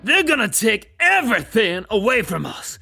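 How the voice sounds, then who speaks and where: Theatrical club owner, husky, sharp-edged, huge pitch swings, grandiose, audio drama. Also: male voice, very animated, normal speed, faint music behind, heavily acted. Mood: anguished, grandiose. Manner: theatrical, grandiose, swinging from hushed awe to wailing. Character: melodramatic, eccentric music venue owner mourning his club.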